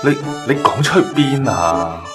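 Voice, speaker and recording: A man's voice: medium pitch, animated, measured pace, over music, lightly acted. A man with a Hong Kong accent playing a blunt, demanding magazine columnist in a radio drama. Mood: defensive, surprised. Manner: direct, frank. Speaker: voice actor in an online radio drama.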